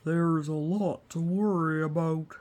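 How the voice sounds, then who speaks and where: A woman voicing an anxious, gloomy male walrus from a children's storybook, fretful and sighing in a lowered, heavy voice. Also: steady tone, slow, darkish tone. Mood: worried, sighing.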